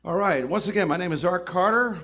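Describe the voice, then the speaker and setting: A man's voice: high-pitched, fairly quick. A man from Orlando, Florida, fronting a country band in a live session, introducing and singing songs casually. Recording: live music session, instruments loud behind. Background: guitar and country band music.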